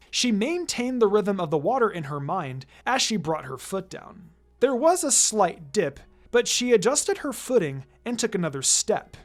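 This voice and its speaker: A narrator reading a scripted fan fiction story aloud. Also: medium pitch. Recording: music behind the voice.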